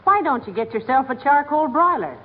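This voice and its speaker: A woman's voice: high-pitched, sing-song, fairly quick. An actress playing a wry, teasing wife who deflates her husband with dry sarcasm, in 1940s radio comedy style. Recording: tinny, noisy 1940s radio, studio audience.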